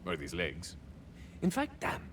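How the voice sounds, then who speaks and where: An actor playing a swaggering young cyborg soldier of fortune in a video game, a touched flavor, musing theatrically and landing wry deadpan punchlines. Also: male voice, low voice, measured pace, in a large hall, a little hiss or hum, full character voice. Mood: wistful. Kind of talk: video game character monologue.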